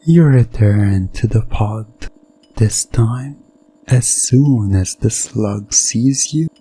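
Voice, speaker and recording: A man narrating a hypnosis ASMR story in the second person, in a deliberate, suspenseful way. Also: lowish voice, warm tone, breathy edge, faint music behind, low-quality recording.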